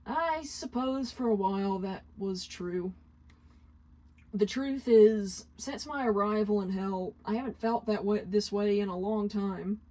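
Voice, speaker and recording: A woman voicing a calm, protective male demon in a fanfiction reading, speaking softly, seriously and with formal wording. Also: mid-range voice, close to an everyday voice, low-quality recording. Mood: reflective. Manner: soft, measured, formal.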